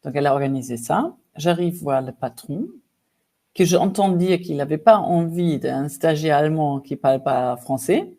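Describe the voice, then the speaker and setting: A woman in her fifties from Germany with a German accent, a coach telling her life story as a podcast guest, lively with many fillers. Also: low-pitched, normal speed. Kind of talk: casual storytelling interview.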